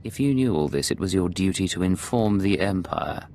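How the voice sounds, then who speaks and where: Male imperial agent for sci-fi game: deep, cool, hard-edged delivery, light ambience behind. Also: animated, normal speed, darkish tone, slight background noise. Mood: indignant, demanding. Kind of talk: video game voice acting.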